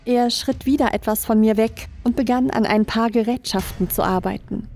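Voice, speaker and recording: A woman's voice: a little high, normal speed, a little mellow. A woman reading scripted first-person horror narration as a creepypasta narrator. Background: background music.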